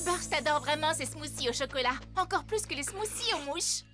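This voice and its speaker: A woman voicing a cheerful, eager young girl in a cartoon dub, bubbly and expressive. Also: high voice, brisk. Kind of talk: French cartoon dub acting. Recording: music behind the dialogue. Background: music.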